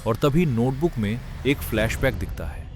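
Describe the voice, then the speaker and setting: A man's voice: mid-range voice, expressive, moderate pace, music in the background. A man narrating a scripted cartoon story as a storyteller.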